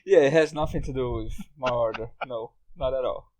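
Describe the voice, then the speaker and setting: A man hosting a casual group podcast chat, speaking haltingly and repeating his words. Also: mid-range voice, darkish tone, poor audio. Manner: halting, repeats words, often breaks into laughter. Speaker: podcast host. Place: remote group voice call.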